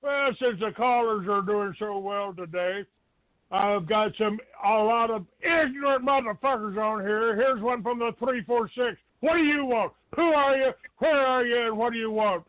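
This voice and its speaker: A man's voice: very high-pitched, normal speed, no room sound. A man hosting a live call-in show in an abrasive, combative, foul-mouthed way. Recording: tinny phone-grade dry audio.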